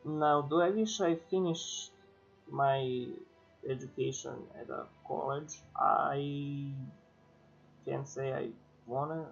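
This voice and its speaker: Slow, hesitant man. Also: mid-range voice, steady tone, vocal fry, lo-fi sound, faint music behind. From Serbia. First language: Serbian. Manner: slow, hesitant, thinking aloud. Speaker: streamer. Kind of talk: casual stream chat.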